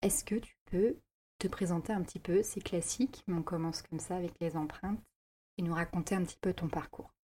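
A woman's voice: lowish voice, a little fast, warm tone, husky. A woman hosting a podcast, reading a scripted intro and then asking friendly, casual interview questions. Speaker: podcast host.

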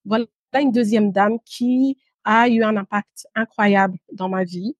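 A woman in her thirties speaks at a middle pitch in a dry recording. She tells her personal story as an interview guest, measured and pausing, dropping in an occasional English word. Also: steady tone, no echo. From Guinea and Brussels, Belgium. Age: 30s. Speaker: podcast guest, entrepreneur.

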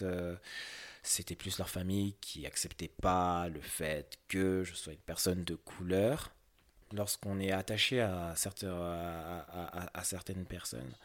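A young man from France answering interview questions candidly, hesitant, with many fillers and restarts. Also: deep voice.

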